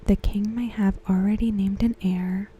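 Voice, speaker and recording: A woman softly whispering a scripted recap in ASMR style, close to the microphone, with gentle, deliberate phrasing. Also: mid-range voice, steady tone, highs cut off. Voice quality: soft, breathy, mostly whispered. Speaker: ASMR host. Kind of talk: ASMR, softly reading a scripted episode synopsis.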